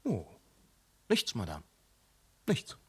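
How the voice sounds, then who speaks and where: A composed police inspector who asks pointed questions with dry politeness. His intonation moves expressively. He stays measured and unhurried. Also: on the low side, breathy edge, full character voice. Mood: probing. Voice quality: calm, dryly probing. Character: calm, probing male police inspector. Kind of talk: radio play acting.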